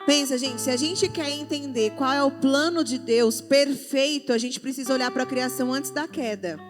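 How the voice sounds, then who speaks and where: A woman's voice: high-pitched, normal speed. A woman speaking assertively on a church youth panel, in a preachy tone. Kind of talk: conversational church panel talk. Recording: music playing underneath.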